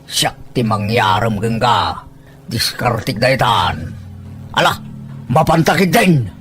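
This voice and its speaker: A man plays an older village man in a rural radio-style drama, a teasing and bossy matchmaker. His voice sits at a middle pitch as he coaxes and chides, with faint music underneath. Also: slow pace, a bit bright. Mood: confident. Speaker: voice actor in an Ilocano audio drama.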